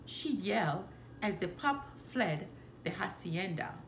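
A middle-aged woman reading a children's storybook aloud with careful, expressive phrasing, as a storyteller. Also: mid-range voice, slow pace. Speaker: storyteller, channel host. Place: indoor living room by a fireplace. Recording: roomy echo, some background noise.

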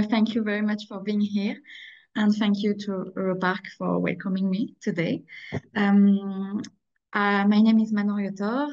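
Young woman, strong French accent, gravelly tone, careful webinar presenter on a lo-fi call. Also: mid-range voice, a little mellow. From France. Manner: careful, slightly halting, apologetic. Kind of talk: presenting slides over a video call. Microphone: video call. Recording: dull lo-fi video call audio.

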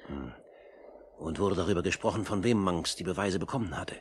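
A courteous older gentleman, played by a man in an old radio drama. His voice is fairly low and formal, measured and earnest, with faint noise on the vintage recording. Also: lightly acted. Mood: probing. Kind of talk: 1950s German radio play acting.

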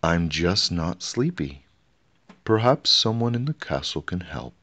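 Man playing a slow, whining sleepless bear king. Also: sits low, sing-song, fairly bright tone, heavily acted. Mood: weary, complaining. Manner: plaintive, drawn-out moaning.

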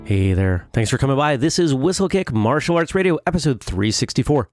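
A man hosting a martial arts interview podcast, upbeat and conversational, speeding up and slowing down on key words. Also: mid-range voice, very animated, over music. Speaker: podcast host. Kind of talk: casual interview with ad reads.

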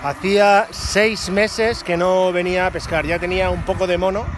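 Man, middle-aged, high voice, chatty and excited, phone at arm's length, boat noise behind. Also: moderate pace. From Spain. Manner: chatty, excited, repeats phrases. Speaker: fishing vlogger. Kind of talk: casual vlog commentary. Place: open boat on the sea, outdoors. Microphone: phone held at arm's length.

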